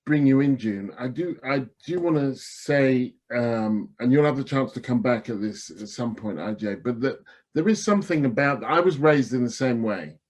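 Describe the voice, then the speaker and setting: A man's voice: mid-range voice, expressive, normal speed, darkish tone. Man in thoughtful panel conversation.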